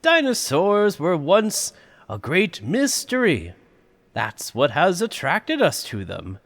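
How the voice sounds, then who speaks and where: A man's voice: fairly high pitch, huge pitch swings, slow pace, fairly bright tone, full character voice. A man playing a proud, showy older park owner in an audio drama, with a theatrical, grandiose manner. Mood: grand.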